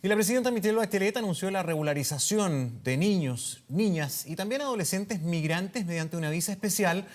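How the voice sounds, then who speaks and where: A Chilean man anchors a television newscast. He reads introductions and handovers in a slightly high voice from the studio. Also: expressive, a little fast, few pauses. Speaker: news anchor. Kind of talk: studio news presenting.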